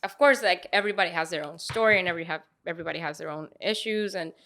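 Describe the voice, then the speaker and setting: A woman's voice: lowish voice, rapid-fire, fairly bright tone. A woman from Venezuela speaking as an interviewer in a casual, conversational style.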